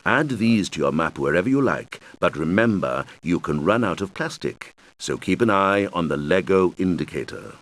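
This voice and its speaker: Deep, animated kids' game guide. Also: male voice, normal speed, hardly any pauses, full character voice. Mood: patient, instructive. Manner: patient, clearly explanatory, addressing children. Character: helpful male game guide instructing young players. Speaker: game tutorial guide character. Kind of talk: scripted instructional narration for a children's video game.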